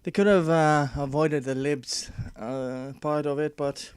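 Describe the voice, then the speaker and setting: A man's voice: on the high side, fairly even intonation, a little slow, clear, resonant tone, no echo. A man whose first language is not English, giving casual commentary and reading an article aloud, with many fillers and restarts and dry sarcastic asides.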